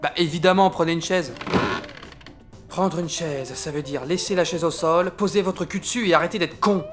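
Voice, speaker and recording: A man's voice: fairly high pitch, normal speed, faint music behind, close to an everyday voice. A man acting an irascible, self-important French army captain in a comic audio drama, sarcastic, bossy and coarse. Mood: exasperated.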